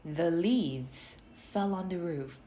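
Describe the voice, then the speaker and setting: Very slow woman reading a children's storybook. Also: on the low side. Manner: deliberate, expressive, with drawn-out sound words. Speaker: storybook reader. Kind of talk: slow children's read-aloud. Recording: roomy echo, faint noise.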